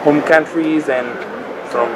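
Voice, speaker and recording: Young man, measured pace of thought, outdoors, heavy ambience. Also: on the high side, steady tone. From Andorra. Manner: measured, searching for words. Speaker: student buddy. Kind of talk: casual interview answers. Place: outdoors by a bridge. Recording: loud outdoor noise.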